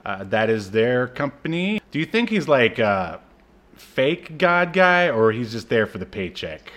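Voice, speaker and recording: A man's voice: mid-range voice, sing-song, unhurried, a bit bright. Joking male podcast co-host. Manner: joking, informal. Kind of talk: casual chat about movies.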